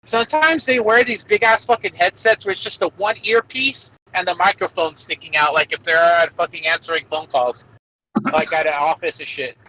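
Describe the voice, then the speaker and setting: A man with a shrill voice, swearing freely in crude casual banter, calling in on a Bluetooth headset from his truck. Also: pushed and bright. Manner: crude, swears constantly, quick laughs. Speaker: podcast co-host calling in. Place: truck cab while working. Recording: tinny headset line from a truck. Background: truck noise.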